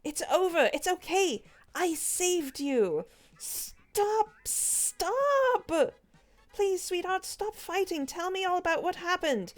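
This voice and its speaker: Lady playing a frantic worried mom, high voice, slow and begging. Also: sing-song, heavily acted. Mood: pleading. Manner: pleading, worried. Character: anxious devoted mother.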